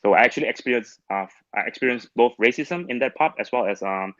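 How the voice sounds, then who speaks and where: A man from Malaysia, speaking English as a second language, answers as a guest in casual discussion, blunt and halting with restarts. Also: medium pitch, a bit bright, no echo, highs cut off. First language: not English.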